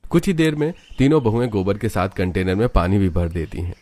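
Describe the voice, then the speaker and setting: A man's voice: fairly low pitch, lively intonation, fairly quick, a little mellow. A man narrating a scripted cartoon story as its storyteller.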